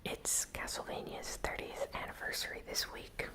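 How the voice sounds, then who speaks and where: A host whispering a news recap in ASMR style, softly and with gentle pauses. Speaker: host of a gaming news channel.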